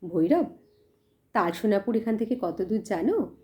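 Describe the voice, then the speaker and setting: A woman voicing a gentle, determined, generous village woman in an audio story, kindly and resolute. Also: mid-range voice, expressive, normal speed, natural voice. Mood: inquiring.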